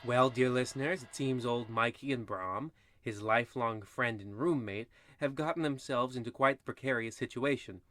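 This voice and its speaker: An actor playing a wry horror-anthology radio host, narrating theatrically and tongue-in-cheek in old-time parody style. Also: male voice, mid-range voice, moderate pace, hardly any pauses, a bit bright, lightly acted. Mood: wry, ominous.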